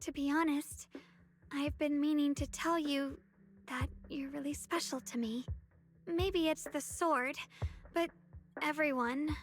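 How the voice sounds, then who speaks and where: Earnest, high-voiced young swordswoman. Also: steady tone, slow pace, pauses often, soft background music. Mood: tender, sincere. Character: earnest, sheltered young swordswoman, gentle but determined. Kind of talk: anime game voice acting.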